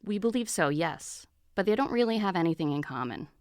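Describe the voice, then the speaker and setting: A woman's voice: lowish voice, animated, brisk, a little mellow, dry recording, studio quality, close to an everyday voice. A woman playing a harried senior hospital doctor in an audio drama, matter-of-fact and cautious. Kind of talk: dramatic podcast acting.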